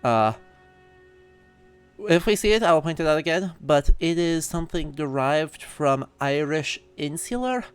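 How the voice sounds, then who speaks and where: A male streamer with a clear, smooth voice pitched slightly high, chatting casually as he plays. His delivery is wry and drifts into scholarly analysis, with faint game music underneath. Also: animated, measured pace. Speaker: live streamer.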